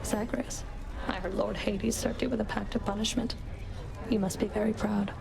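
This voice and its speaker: A woman's voice: medium pitch, steady tone, moderate pace, gravelly, music in the background. A woman voicing a cold, menacing, contemptuous fury in a video game, stern and sardonic. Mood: cold, sarcastic. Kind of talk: video game character acting.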